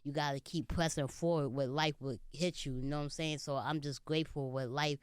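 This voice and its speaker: A man's voice: fairly high pitch, fairly even intonation, brisk, smooth, no echo, studio quality. A man in his late twenties, a comedian guest in a casual interview, talking earnestly and often saying "you know". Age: 20s. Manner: earnest, speaks of faith, many "you know" fillers.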